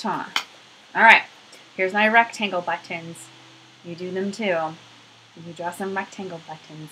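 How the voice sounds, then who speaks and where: A woman's voice: fairly high pitch, pushed and bright, slight background noise, poor audio. A woman in her thirties leading a craft activity for young children, talking to them directly in an encouraging way, recorded on a webcam at home. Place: small furnished room at home, indoors.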